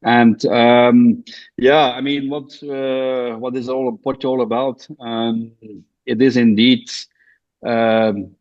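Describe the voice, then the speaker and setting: A man's voice: mid-range voice, flat delivery, slow pace. Belgian man on a muffled remote line. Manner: hesitant, many fillers and restarts. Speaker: guest, co-living founder. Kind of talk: podcast interview, explaining. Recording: dull lo-fi remote audio.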